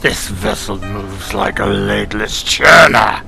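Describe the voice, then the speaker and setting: A gravelly, growling alien cat warrior in a sci-fi audio drama. He speaks slowly and forcefully, full of haughty scorn, low and hard-edged. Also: expressive, faint music behind, exaggerated voice. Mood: scornful. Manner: haughty, taunting, slow and forceful. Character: gruff, scornful male catlike alien warrior.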